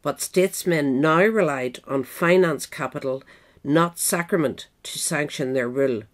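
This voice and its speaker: A woman's voice: low voice, measured pace. A woman reading scripted nonfiction narration as an audiobook reader. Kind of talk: scripted narration of a political history essay.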